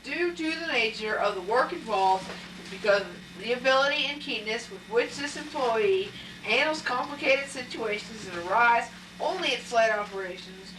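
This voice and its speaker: Formal officer reciting a memo woman acting, amateur stage, slightly high bright voice, distant mic. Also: expressive, moderate pace, close to an everyday voice. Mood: formal, official. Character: formal military boss reading an official memo. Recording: echoey hall, distant mic, faint noise.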